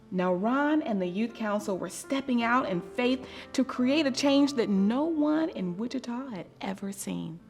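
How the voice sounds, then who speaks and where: A woman with a slightly high voice reads a story for children. Her delivery is expressive and deliberate, leaning on key words and pausing for effect. Music plays behind her. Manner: dramatic, deliberate emphasis with theatrical pauses. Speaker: storyteller reading a picture book aloud.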